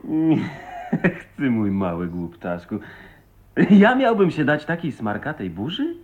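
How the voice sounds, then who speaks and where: A teasing husband, wildly swooping intonation. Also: male voice, mid-range voice, wildly swooping pitch, slow. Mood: jovial, teasing. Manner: playful, bantering, swooping intonation. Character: teasing, easygoing, affectionate backwoods husband. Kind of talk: radio drama acting. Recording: very muffled, narrow old radio recording.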